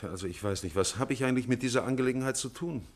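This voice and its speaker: An actor in a 1950s German radio play as an irritated, defensive gentleman who speaks formally and emphatically. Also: mid-range voice, very fast, lightly acted. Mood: indignant.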